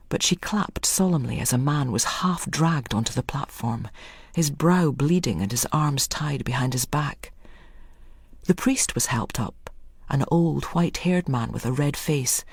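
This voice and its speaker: A storyteller narrates dramatic fiction in a husky, breath-edged voice of medium pitch. The recording is close and dry, with no room around the words. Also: no echo.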